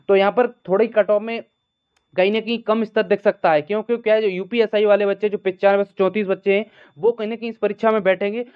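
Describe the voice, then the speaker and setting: A man giving an informal update to students as a channel host, often repeating stock phrases. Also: sits high. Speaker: host of an exam news channel. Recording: thin, phone-like band-limited sound.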